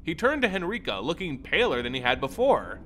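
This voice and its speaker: Male storyteller for scripted fantasy. Expressive, dramatic, a little higher-voiced, lively intonation. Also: brisk. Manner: theatrical, expressive.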